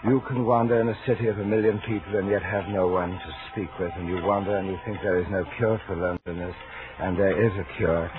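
An actor playing a lonely, insistent gentleman suitor in a 1940s radio thriller, stammering, overly formal and coaxing. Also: sits low, fairly even intonation, fast talker, natural voice. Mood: creepily soothing. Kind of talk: 1940s radio suspense acting. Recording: noisy, thin, phone-like 1940s radio recording.

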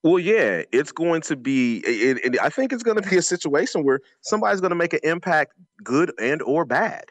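A man's voice: on the high side, sing-song, normal speed, hardly any pauses, no echo. A man giving conversational sports analysis as an announcer and former player, emphatic, repeating phrases and drawing on anecdotes. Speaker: sports announcer and former college running back.

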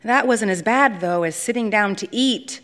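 A woman reading a literary short story aloud in an expressive narrating style. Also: medium pitch, normal speed. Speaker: story reader.

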